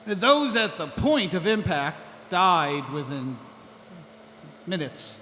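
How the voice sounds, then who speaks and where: An actor playing a sober, clinical scientist giving a measured briefing in a live audio drama. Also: male voice, sits high, very animated, pushed and bright, full character voice. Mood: clinical, grave. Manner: measured, lecturing. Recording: echoey hall, clear background noise.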